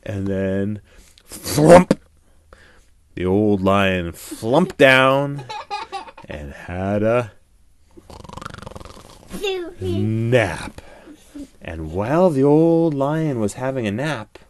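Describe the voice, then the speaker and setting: A man telling an improvised children's bedtime story in a playful, animated way, with dramatic pauses and hushed moments. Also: on the low side.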